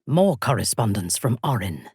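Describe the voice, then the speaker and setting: An actress voicing a ruthless, imperious female dark elf commander in a fantasy game, with haughty, formal, menacing delivery. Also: a bass voice, big pitch swings, normal speed, breathy edge, no echo, clean studio sound, heavily acted. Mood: cold, haughty. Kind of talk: dramatic fantasy game voice acting.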